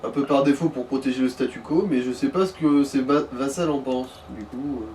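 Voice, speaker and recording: A man's voice: mid-range voice, a little fast, mellow. A man chatting casually as a co-commentator, explaining things in colloquial, informal speech. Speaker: let's play co-commentator. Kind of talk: casual chat. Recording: distant mic, faint room noise.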